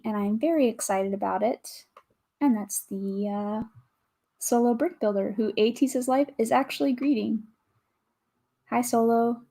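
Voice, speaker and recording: A woman with a calm, soothing voice, chatting casually with viewers as a livestream host, greeting and thanking them as she goes. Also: medium pitch. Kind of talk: casual livestream chat while building.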